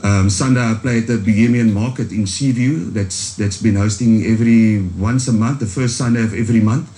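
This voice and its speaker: A man's voice: fairly low pitch, fairly even intonation, fairly quick, mellow. A South African man with a strong Afrikaans accent, a musician guest in a radio studio, talking casually with many ums and restarts.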